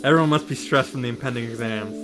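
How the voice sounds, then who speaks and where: A man's voice: mid-range voice, fast talker. Casting: male game commentator, mid-range voice, casual and wry, music playing underneath. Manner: wry, playful asides. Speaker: visual novel reaction and summary host. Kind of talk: casual joking recap commentary.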